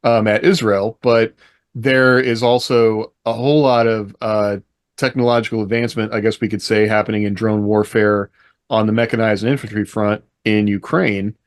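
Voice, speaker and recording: A man with a fairly low voice co-hosts a casual panel podcast. He jokes easily and keeps the talk moving with questions. His voice sounds dry and close, with no room echo. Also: little pitch movement, normal speed. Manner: joking, loose, leads the talk with questions. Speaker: podcast co-host and author.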